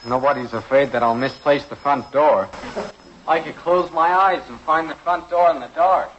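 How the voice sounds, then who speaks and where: Man acting argumentative teen boy, high voice, earnest whine, old radio comedy, muffled hissy recording. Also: normal speed, a bit bright, natural voice. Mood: cheeky. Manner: earnest, whiny pleading. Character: eager, argumentative fifteen-year-old colonial boy.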